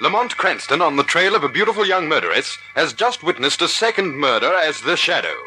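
A man's voice: on the high side, big pitch swings, a little fast, sharp tone, low-quality recording. A male announcer reading scripted narration in 1940s radio style. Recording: old radio broadcast recording. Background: music.

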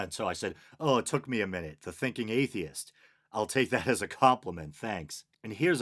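A man with a Boston accent hosting a casual podcast, reading out listener comments with wry, sarcastic asides and little chuckles. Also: fairly low pitch. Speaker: podcast host.

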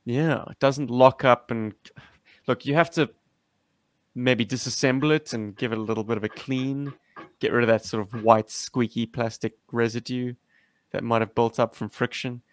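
A man's voice: medium pitch, steady tone, moderate pace, dry recording. A man hosting a casual, slangy chat about action figures as a collector. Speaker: podcast host and toy collector.

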